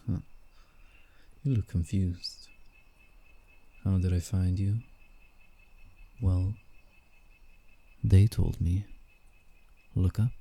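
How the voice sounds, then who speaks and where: A man playing a commanding, teasing alpha werewolf in a roleplay ASMR, with menace in his delivery. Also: full character voice. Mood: menacing, smug. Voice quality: dark, gravelly low voice. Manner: slow, deliberate, teasing menace. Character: male alpha werewolf, predatory, teasing, commanding forest protector.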